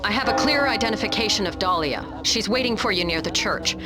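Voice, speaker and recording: An actress playing a precise, businesslike intelligence contact in a video game cutscene. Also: female voice, medium pitch, animated, a little fast, over loud music, natural voice. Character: businesslike female intelligence contact relaying orders.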